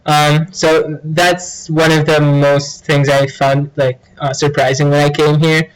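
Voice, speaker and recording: Young man, international student, earnest explainer, flat intonation, somewhat high voice. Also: takes it slowly, clear, resonant tone, low-quality recording. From Tunisia. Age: young adult. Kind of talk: documentary interview.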